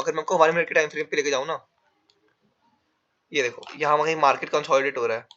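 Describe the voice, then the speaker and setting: A man's voice: on the high side, bright, forceful tone. Man with a sore throat teaching. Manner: explains step by step, calls viewers "bhai". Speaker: trading teacher. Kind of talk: casual chart-drawing lesson. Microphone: no proper mic yet. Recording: dull lo-fi recording without a proper mic.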